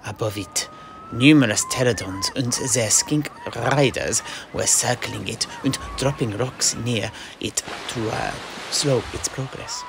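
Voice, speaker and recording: A man playing a courteous mercenary knight, telling a fantasy sea tale in a formal but halting manner. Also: mid-range voice, gravelly, background noise. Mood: measured storytelling. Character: courteous mercenary knight retelling his grandfather's sea adventure. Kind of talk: in-character fantasy storytelling.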